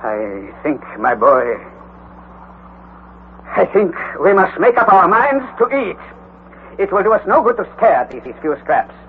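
A bold, authoritative older scientist holds forth in a high, lively voice. He speaks in theatrical old radio drama style. The sound is a thin, phone-like broadcast with faint hiss. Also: measured pace, long pauses, exaggerated voice. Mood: steady, resolute. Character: older male scientist uncle, bold and commanding. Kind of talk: 1940s radio acting.

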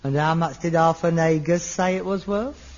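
An actor playing a frustrated middle-aged son, theatrical, swinging from wistful muttering to manic ranting in a sitcom. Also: male voice, fairly high pitch, normal speed, natural voice. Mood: defensive bickering. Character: frustrated middle-aged son, a rag-and-bone man with grand dreams. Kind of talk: 1970s TV sitcom acting. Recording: dull old TV soundtrack.

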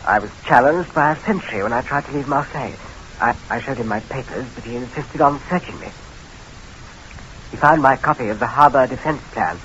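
An actor playing a wounded young English spy, weakened but ardent, halting and dramatic in old-time radio drama style. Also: male voice, medium pitch, a bit bright, lightly acted. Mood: recounting. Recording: dull, hissy old radio recording.

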